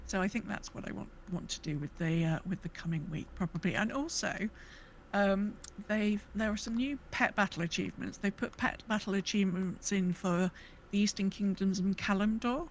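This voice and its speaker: A woman's voice: medium pitch, normal speed, low-quality recording. Chatty woman podcast host over background noise. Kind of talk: casual chat and reading out listener messages.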